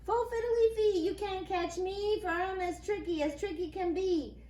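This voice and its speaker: A mischievous little leprechaun man from a storybook, acted out by a woman, pitched very high. He taunts in a giggly sing-song, crafty and gleeful. The recording is echoey, with some background noise. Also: fairly even intonation, normal speed, dark tone. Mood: taunting.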